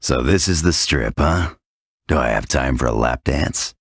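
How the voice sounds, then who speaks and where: Extremely deep, gravelly tough-guy hero. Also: lively intonation, a little slow, heavily acted. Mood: sardonic. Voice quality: gravelly, husky. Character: gruff, wisecracking tough male action hero. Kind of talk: video game cutscene acting.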